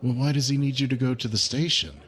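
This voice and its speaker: An actor playing a cautious, protective male ally in an audio drama, with a gentle, measured and concerned manner. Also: medium pitch, natural voice. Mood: probing.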